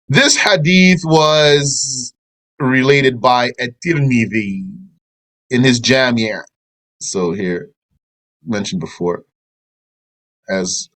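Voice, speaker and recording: A man's voice: mid-range voice, fairly bright tone, low-quality recording. A man giving a religious lesson, reading a translated passage aloud and explaining it, with Arabic terms mixed in. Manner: explanatory, reads a passage then comments, mixes in Arabic terms. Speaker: religious teacher.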